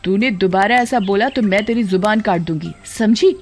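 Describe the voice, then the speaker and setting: A woman voicing a discontented, sharp-tongued young wife in a cartoon story, complaining and scolding. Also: mid-range voice, moderate pace, natural voice. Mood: angry. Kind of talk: Hindi cartoon story voice acting. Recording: background music.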